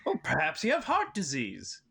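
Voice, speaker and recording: A woman's voice: low voice, wildly swooping pitch, normal speed, creaky, fairly bright tone, slightly rough, heavily acted. A woman playing a tender, polite tin woodman in a live table read, sensitive in manner. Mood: matter-of-fact. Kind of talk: live table read of a children's fantasy story.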